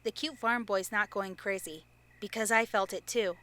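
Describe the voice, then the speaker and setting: A woman's voice: mid-range voice, steady tone, normal speed, sharp tone, natural voice. A woman playing a confident, teasing teenage girl hero in an audio drama. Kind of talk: fan audio drama acting.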